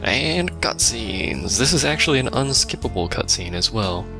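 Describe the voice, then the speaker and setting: A man's voice: mid-range voice, big pitch swings, normal speed, gravelly, over music. A man giving casual, dry and sarcastic commentary on a video game he is playing. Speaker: let's play commentator.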